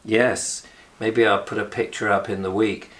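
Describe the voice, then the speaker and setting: Hoarse English man, low-pitched, rambling self-deprecating vlogger talking to camera at home. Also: flat delivery, measured pace, a bit bright. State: hoarse, recovering from lost voice. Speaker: video blogger. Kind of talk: casual diary vlog. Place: indoors at home and in a flat.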